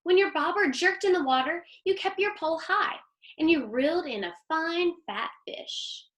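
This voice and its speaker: A woman reading the part of a gentle, loving storybook mother, tender and admiring with her young sons. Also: extremely high pitch, lively intonation, normal speed, echoey room, pitched above the performer's own voice.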